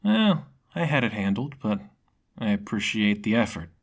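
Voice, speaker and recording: A man's voice: on the low side, sing-song, moderate pace. Man voicing a wry, sly female thief.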